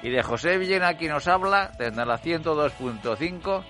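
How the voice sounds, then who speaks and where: A man's voice: fairly high pitch, expressive, normal speed, sharp tone, soft background music. A man hosting a cycling radio show, formal at the top and hesitant in later chat. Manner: hesitant, many restarts in chat. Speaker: radio host.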